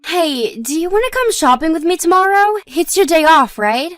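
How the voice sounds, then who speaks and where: A supportive young wife, played by a woman, talks in a high, dry-recorded voice with wide swings of pitch. She is modest and reassuring, chatting in a scripted domestic story drama. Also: very animated, normal speed, a bit bright, no room sound, faint music behind, full character voice. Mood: chatty, even-tempered.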